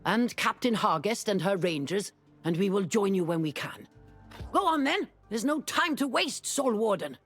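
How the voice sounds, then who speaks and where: An actress playing a brisk, self-assured, commanding ally in a video game, with a bossy, urgent manner. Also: female voice, mid-range voice, expressive, soft background music, natural voice. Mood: brisk urgency. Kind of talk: dramatic video game acting.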